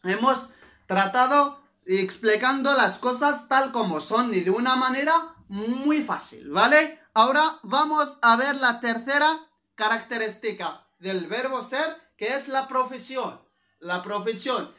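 Moroccan guy teaching grammar, arabic native accent, sharp bright voice, repeats himself a lot, echoey room. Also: extremely high pitch, expressive, unhurried. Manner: repetitive, didactic, often checks understanding with short tags. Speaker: Spanish language teacher. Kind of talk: scripted-free grammar lesson with examples.

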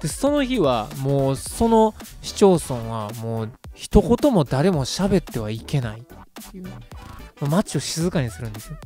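A man's voice: fairly high pitch, sing-song, moderate pace, dark tone, soft background music. A chatty man around thirty co-hosting a casual radio show, talking through his own idea at length. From Japan, Kansai region. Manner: chatty, self-described talkative. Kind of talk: casual monologue pitching an idea.

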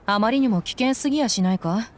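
A woman's voice: a little high, fairly quick, a little hiss or hum, natural voice. A woman playing a confident, easygoing young female desert mercenary in an anime game, with blunt tomboyish speech. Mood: concerned, firm. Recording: music behind the voice.

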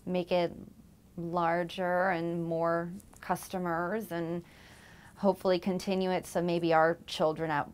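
A woman's voice: on the low side, little pitch movement, a little slow, smooth. A woman in her twenties answering interview questions in an office, earnest with some fillers. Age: 20s. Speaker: company employee.

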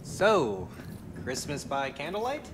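A man's voice: a little high, wildly swooping pitch, takes it slowly, background noise, heavily acted. A man playing a chatty, affable teenage boy in natural game dialogue. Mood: awkward, affable. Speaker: video game character. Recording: game audio with music behind.

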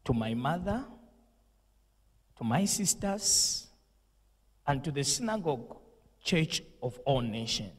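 A young pastor speaks slowly and solemnly into a handheld mic, with the emphasis of a preacher. His voice is husky, and a long reverb trails behind it. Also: medium pitch, sing-song. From Zambia. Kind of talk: condolence message and prayer. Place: indoor studio set with purple lighting.

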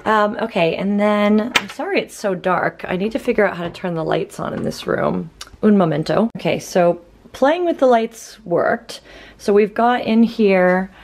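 A woman's voice: on the low side, normal speed, vocal fry, background noise. A woman in her thirties, a travel vlogger giving a chatty, upbeat tour of a small hotel room, filming with a handheld camera. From Boston, USA. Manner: chatty, upbeat, thinking aloud with fillers. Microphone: handheld camera at arm's length.

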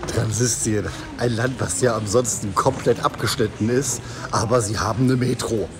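A man's voice: on the low side, steady tone, normal speed. Man, forties, travel vlogger, chatty, amused, camera at arm's length, music playing. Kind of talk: casual travel vlog. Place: supermarket and car on the road. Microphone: handheld camera at arm's length.

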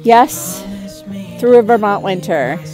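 A woman's voice: mid-range voice, expressive, slow pace, warm tone, soft background music. A middle-aged woman chatting casually and candidly to viewers as a homestead vlogger, outdoors on an earbud mic. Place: outdoors in the woods.

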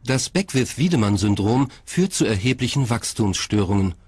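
A man's voice: medium pitch, expressive, moderate pace, a little mellow, breathy edge, no room sound. A man reading scripted documentary narration in the role of narrator.

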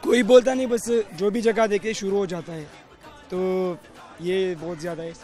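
A man's voice: high-pitched, steady tone, moderate pace, a little mellow, faint music behind, a faint crowd. A young male student, interviewed outdoors in a zoo park, speaking in a shy, hesitant way while his throat is sore. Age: young adult. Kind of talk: casual chat. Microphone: handheld mic held by host.

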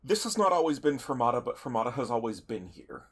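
A man's voice: mid-range voice, very animated, fast talker, slight background noise. Hesitant man improvising in character. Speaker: tabletop roleplaying player.

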